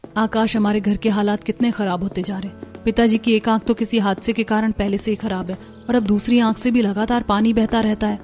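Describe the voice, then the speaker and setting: A woman's voice: medium pitch, level tone, fairly quick, darkish tone, faint music behind, lightly acted. A woman voicing a dutiful, earnest young daughter-in-law in a cartoon story, polite and deferential. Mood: concerned. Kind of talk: Hindi cartoon story voice acting.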